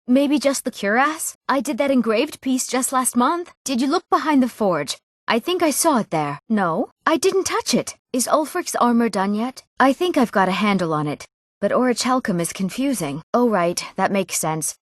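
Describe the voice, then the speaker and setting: Eager young apprentice smith. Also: female voice, on the high side, lively intonation, moderate pace, dry recording, studio quality. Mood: helpful, unsure. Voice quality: youthful. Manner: eager, earnest. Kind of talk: scripted fantasy game dialogue.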